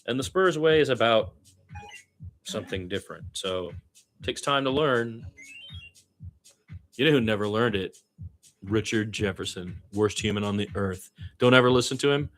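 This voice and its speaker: A man calling basketball as a partisan radio play-by-play announcer, blunt and opinionated, animated in his delivery and repeating phrases for emphasis. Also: moderate pace, long pauses, faint music behind. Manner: animated, swinging from low asides to loud high calls.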